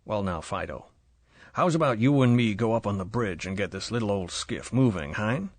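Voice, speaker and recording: A man's voice: lowish voice, lively intonation, moderate pace, dry recording. A man voicing a bullying rich American yacht owner who talks in a mocking drawl, with an American accent.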